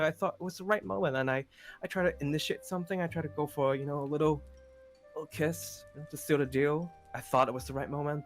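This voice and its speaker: Earnest young male best friend played by a man, tender and halting, game roleplay, music behind. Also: mid-range voice, close to an everyday voice. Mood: awkward, confiding. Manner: halting, repeats words, pads with "you know". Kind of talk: improvised Minecraft roleplay acting.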